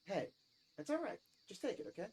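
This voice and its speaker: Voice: mid-range voice, very animated, fairly quick, a little mellow, a little hiss or hum, pitched above the performer's own voice, lightly acted. A performer voicing a casual, teasing, kind-hearted teenage girl in a visual novel reading. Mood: reassuring. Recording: music behind the voice.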